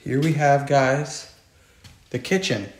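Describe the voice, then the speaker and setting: A man with a mid-range voice walks through abandoned buildings, narrating into a handheld phone. He talks with excitement and keeps calling out to his viewers as he goes. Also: mid-range voice, takes it slowly. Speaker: urban explorer vlogger. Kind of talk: walkthrough narration while exploring. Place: abandoned buildings and old vehicles, indoors and out.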